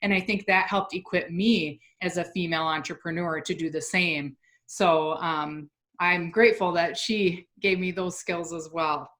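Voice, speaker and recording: Woman host, bright forward tone, upbeat and quick, warmly agreeable. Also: lowish voice, steady tone. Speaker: podcast host. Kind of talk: interview conversation.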